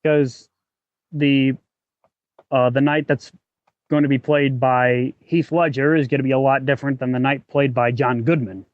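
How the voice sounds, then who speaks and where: A man hosting a podcast in casual, explanatory talk, often halting as he thinks aloud. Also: medium pitch, steady tone, no room sound, muffled recording. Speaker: podcast host; working actor.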